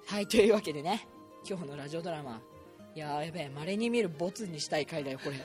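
A young male podcast host chats casually and quickly, his pitch jumping around a lot. He banters bluntly with his friends and teases them. Music plays clearly behind him. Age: young adult. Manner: animated, blunt, teasing banter. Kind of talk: casual joking chat among student friends.

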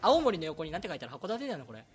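Young man, excitable amateur radio host, animated pitch, rapid playful banter. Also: husky. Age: young adult. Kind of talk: casual amateur radio chat among friends.

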